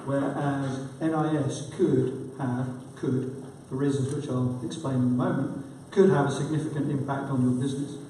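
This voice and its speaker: A man giving a conference presentation, his voice croaky from a cold, speaking informally with fillers. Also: medium pitch, steady tone, normal speed, hardly any pauses, dark tone, slight background noise. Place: large conference hall. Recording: echoey hall, distant mic.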